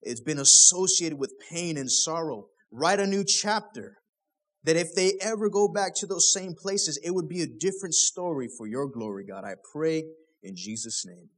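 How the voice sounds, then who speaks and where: A man's voice: fairly high pitch, expressive, normal speed, a bit bright, low-quality recording. A young man, a pastor, preaching a sermon in a casual, conversational way, full of rhetorical questions.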